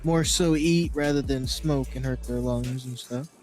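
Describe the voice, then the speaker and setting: A man's voice: medium pitch, fairly even intonation, measured pace, a little mellow, faint music behind, close to an everyday voice. A man playing an agreeable, casual cannabis shop worker in an improvised crime game roleplay. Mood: friendly.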